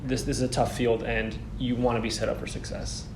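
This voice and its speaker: A man originally from Poland, a conducting student, talking in a chatty, animated way with fillers. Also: mid-range voice, brisk. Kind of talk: casual interview answers. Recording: roomy with background noise.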